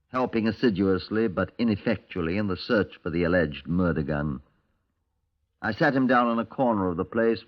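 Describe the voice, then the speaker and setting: An actor playing a brusque, probing Scotland Yard chief inspector with a British accent, clipped and dryly sardonic, in 1950s radio crime drama style. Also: male voice, lowish voice, lightly acted. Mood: dry narration. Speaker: police chief inspector and narrator. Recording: muffled, echoey old 1950s radio recording.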